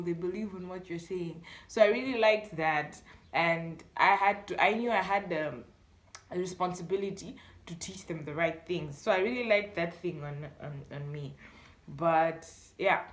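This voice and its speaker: An echoey room surrounds a young woman with a Malawian accent and a medium-pitched voice. She tells her story casually and with animation, slipping into little bits of acted-out dialogue and interjections as she goes. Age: young adult. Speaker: storytime YouTuber.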